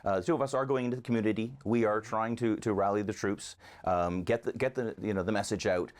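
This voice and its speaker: A man with a fairly low voice speaks as a guest in a conversational interview. He comes across as an earnest local advocate, setting out his argument one point at a time. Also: fairly even intonation, fast talker.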